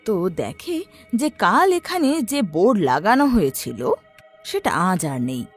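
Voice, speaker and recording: A woman's voice: mid-range voice, big pitch swings, a little slow, husky. A woman narrating a scripted horror story in a dramatic storytelling style. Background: background music.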